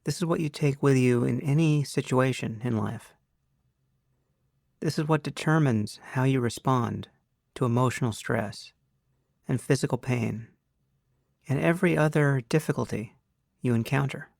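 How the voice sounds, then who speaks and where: A man with a mid-pitched, noticeably creaky voice teaches a guided meditation. He gives measured, unhurried instructions and leaves long pauses between phrases. The recording is very dry, with no room sound on the voice. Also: a little mellow, dry recording.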